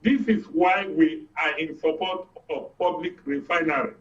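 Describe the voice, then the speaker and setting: A man's voice: a little high, normal speed. A man with a Nigerian accent giving his opinions as a guest in a political discussion, explaining emphatically and repeating points for effect. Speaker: guest commentator. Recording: dull, distant studio audio.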